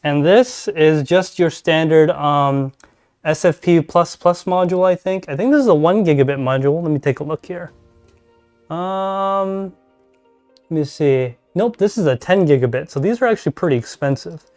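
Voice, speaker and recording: A man hosting a casual live stream, talking through his network gear and reading chat aloud as he thinks out loud. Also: normal speed, soft background music. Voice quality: deep voice. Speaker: podcast host live streaming. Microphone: desk mic, level adjusted mid-stream.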